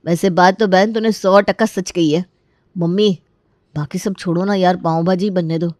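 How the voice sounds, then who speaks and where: A woman's voice: lowish voice, steady tone, moderate pace, a little mellow, no echo, close to an everyday voice. A cheeky young adult from an Indian family cartoon, played by a woman. The delivery is playful, wheedling and exaggerated, recorded close and dry. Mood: cheeky pleading.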